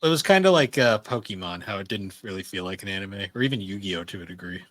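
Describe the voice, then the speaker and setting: Bright-voiced man in casual podcast chat. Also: low-pitched, animated, fast talker, dry recording. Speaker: podcast co-host. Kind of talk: casual chat about anime and games.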